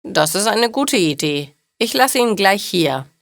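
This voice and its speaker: A woman's voice: mid-range voice, lively intonation, unhurried, creaky, a bit bright, no echo, clean studio sound, voice pushed up. A woman voicing a hapless male driver in a storybook, in exclaiming read-aloud dialogue. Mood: plain, rueful.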